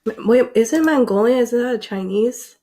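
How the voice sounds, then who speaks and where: Young woman, vlogger, slow unhurried talk, fairly high voice, excitable, animated, lots of likes and ums. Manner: animated, excitable, filler-heavy with frequent likes. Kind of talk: casual reaction vlog.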